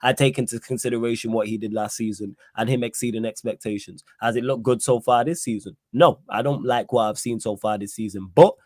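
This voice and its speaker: A man co-hosting a football chat, making his case point by point in a measured way. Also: mid-range voice, fairly even intonation, dry recording, studio quality. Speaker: football podcast co-host. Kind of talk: casual football debate.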